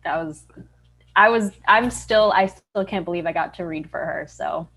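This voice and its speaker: A woman's voice: lowish voice, moderate pace, fairly bright tone, rough recording. A woman, a voice actress, answering questions casually and modestly on a video call. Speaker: voice actress being interviewed. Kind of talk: casual interview chat. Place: indoors on a group video call.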